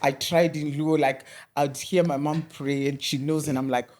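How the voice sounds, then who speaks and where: A middle-aged woman from Kenya, a guest in a conversational interview, telling animated stories with many restarts. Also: low voice, moderate pace, a little hiss or hum.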